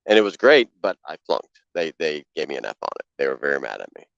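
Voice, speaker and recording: A man riffing on a comedy podcast, his pitch swinging up and down as he builds silly ideas. The sound is dry and close. It comes through a little dull and lo-fi. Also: quick pace, vocal fry, dry recording. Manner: animated, spins absurd schemes. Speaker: podcast co-host. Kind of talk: casual comedic banter.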